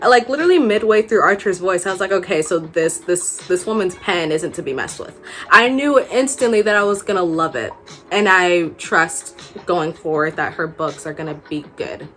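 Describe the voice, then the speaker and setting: A woman's voice: on the low side, bright, forceful tone. A young adult woman chatting casually as a book vlogger, gushing and slangy, repeating words for emphasis. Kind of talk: casual chat to camera. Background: background music.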